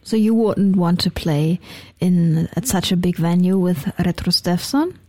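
A woman radio host with a German accent and a mellow, warm tone. The studio sound is dry and close. She keeps the interview moving in a brisk, upbeat way. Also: lowish voice, no room sound. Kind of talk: bilingual radio interview and moderation.

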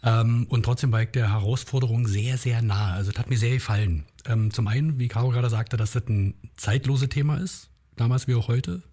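Husky Berlin-accented man, chatty. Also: medium pitch, brisk, hardly any pauses. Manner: relaxed, chatty with many hesitations. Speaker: musician guest. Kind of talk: interview chat.

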